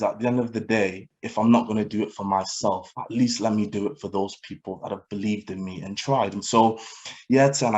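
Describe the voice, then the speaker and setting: Young guy early 20s, fairly deep voice, telling his own story at a talk, earnest n measured. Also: a little mellow. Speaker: guest speaker. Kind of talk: personal testimony in a public talk.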